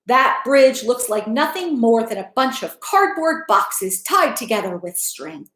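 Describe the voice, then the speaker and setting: Dismissive storybook naysayer read by a woman, emphatic, high and slow, roomy recording. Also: lively intonation, fairly bright tone. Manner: emphatic, drawn-out pronouncements. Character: dismissive, doubting relatives and townsfolk in chorus. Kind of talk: storybook characters in a read-aloud. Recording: echoey room.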